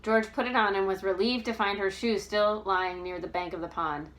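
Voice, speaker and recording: A woman's voice: mid-range voice, fairly even intonation, moderate pace, a bit bright, rough recording. Woman narrating a novel aloud. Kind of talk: expressive storybook narration.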